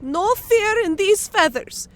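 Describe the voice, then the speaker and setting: A woman voicing a small, earnest, eager harpy messenger in an audiobook, with creaky, simple broken phrasing. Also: very high-pitched, lively intonation, takes it slowly, a bit bright, full character voice. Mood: brave, determined. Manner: simple broken phrasing, speaks of self by name. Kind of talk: audiobook character acting.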